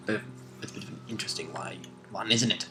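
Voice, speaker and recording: A man's voice: fairly low pitch, fast talker, sharp tone, faint music behind. A man chatting casually as a player and co-commentator, informal and rambling in his way of talking. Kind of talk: casual chat.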